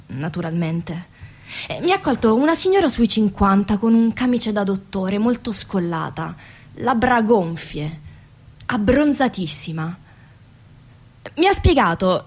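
A woman's voice: medium pitch, lightly acted. An actress playing an exhausted, ironic woman factory worker in a radio theatre monologue, stressing words by repeating them. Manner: ironic, emphatic repetitions.

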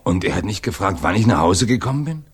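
An actor playing a troubled, probing middle-aged father in a radio drama, with muted, halting questions. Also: lively intonation, full character voice. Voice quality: husky, low. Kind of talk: 1980s German radio drama acting.

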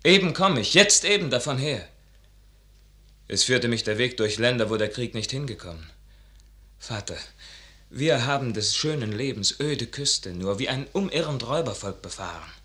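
Fervent young soldier, sweeping intonation, forceful ringing tone, declamatory classical verse, radio play. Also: male voice, medium pitch, animated, normal speed. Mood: wistful. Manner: declamatory, impassioned, swelling. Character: ardent idealistic young soldier, son at odds with his father. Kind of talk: classical German stage verse radio acting.

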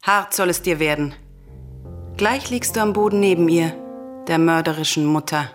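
An embittered young princess demanding revenge , acted by a woman in a classical radio tragedy. The voice sits fairly low, and the verse comes out imperious and merciless. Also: moderate pace, soft background music, close to an everyday voice. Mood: merciless, bitter. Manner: hard, imperious, declamatory. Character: merciless, vengeful princess, embittered and unyielding sister.

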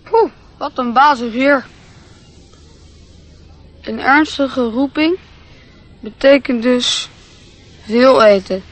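A woman's voice: high voice, slow pace, long pauses. A woman playing an earnest, curious, trusting little boy in a radio drama. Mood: wondering.

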